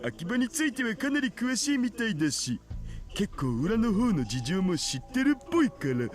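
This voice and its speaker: A man's voice: high-pitched, big pitch swings, fast talker, faint music behind. An actor playing an easygoing, chatty young male otaku in an anime visual novel, with slangy, casual speech. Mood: casual, explaining.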